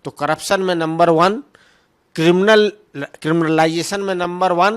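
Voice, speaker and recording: A man with a moderately high-pitched voice speaks as a political spokesman. He answers questions argumentatively, hammering points through repeated phrasing. English terms are mixed freely into his speech. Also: steady tone, a little slow, clear, resonant tone.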